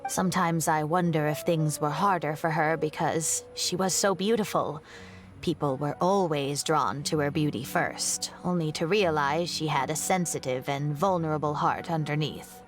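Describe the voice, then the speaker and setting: An actress voices an older woman scholar in a video game. Her voice sits very low for a woman. She speaks in measured, reflective phrases with a composed, wry edge, over faint music. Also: close to an everyday voice. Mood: fond, reflective. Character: elderly woman scholar, former teacher, composed and reminiscing. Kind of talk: scripted video game dialogue.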